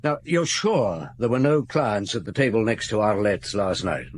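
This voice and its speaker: Police chief inspector, lively pitch, measured questions, radio drama. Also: male voice, slightly rough. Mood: probing. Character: calm, probing male police chief inspector.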